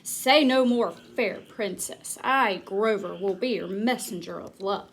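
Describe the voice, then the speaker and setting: A woman voicing a soft-hearted, eager male furry monster, overly dramatic and sobbing easily. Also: medium pitch, a little slow, slight background noise, lightly acted. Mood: gallant. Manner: overly dramatic, wailing sobs.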